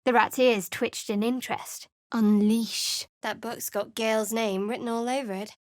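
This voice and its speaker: A woman's voice: on the high side, fairly even intonation, unhurried, no room sound, natural voice. Woman playing a wry, guarded young cleric. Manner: dry, sardonic, clipped. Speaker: game companion. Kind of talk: studio game voice lines. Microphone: close studio mic.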